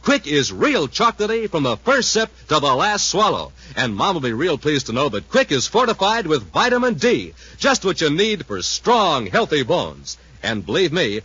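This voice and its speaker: Bright, animated vintage radio announcer man. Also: sits high, slightly rough. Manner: punchy, exuberant salesman delivery. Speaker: announcer and narrator. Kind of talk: 1950s radio announcing and advertising pitch. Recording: muffled, roomy 1950s radio recording.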